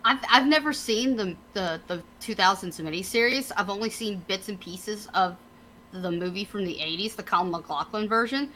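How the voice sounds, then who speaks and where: A woman in her thirties bantering casually as a podcast co-host, blunt, slangy and quick to swear. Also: mid-range voice, bright, forceful tone, slight background noise, low-quality recording.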